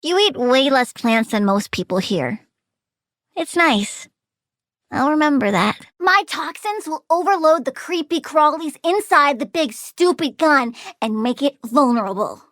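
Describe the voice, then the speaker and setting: A woman's voice: sits high, lively intonation, measured pace, no room sound, clean studio sound. A woman voicing a childlike plant-villain girl in a video game, petulant and blunt, with cutesy menace and a love of plants. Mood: chirpy, friendly. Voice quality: bright, girlish.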